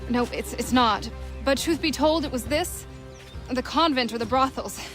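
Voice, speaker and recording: A woman plays a self-reliant huntress of the frontier. Her voice is high, her delivery plain-spoken, proud and guarded, as in a video game drama. Light music runs behind. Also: moderate pace, lightly acted. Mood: guarded, frank.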